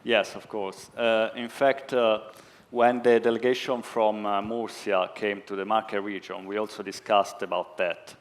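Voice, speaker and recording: A man's voice: fairly low pitch, flat delivery, a little slow. A man speaking on a conference stage, answering questions hesitantly with many fillers. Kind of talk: conference pitch and Q&A.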